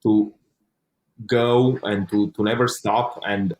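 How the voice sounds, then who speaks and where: A man's voice: on the low side, steady tone, slow, a little mellow, low-quality recording. A young man telling his own story in an interview, speaking English as a second language, earnest, with frequent restarts and casual swearing. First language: not English. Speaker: interview guest.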